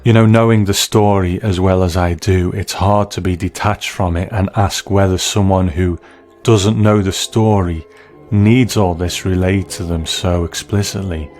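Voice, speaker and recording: A man delivering scripted review commentary in a measured, analytical way. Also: sits low, steady tone, a little mellow, soft background music.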